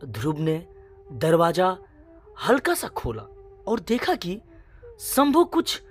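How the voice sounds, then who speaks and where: A woman narrating a scripted suspense story in a dramatic, measured way, in short phrases. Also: fairly low pitch, huge pitch swings, breathy edge, soft background music.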